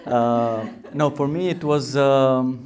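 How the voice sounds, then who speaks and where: A man from Albania speaks on a conference panel in a hesitant way, with many pauses and fillers. His voice sits at a middle pitch and is plain and steady. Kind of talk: panel discussion.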